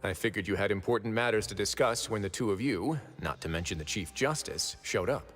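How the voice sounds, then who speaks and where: An actor playing a shrewd, composed prison duke in an anime video game, sardonic, unhurried and self-assured. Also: male voice, on the low side, fairly bright tone, soft background music, natural voice. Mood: wry, composed.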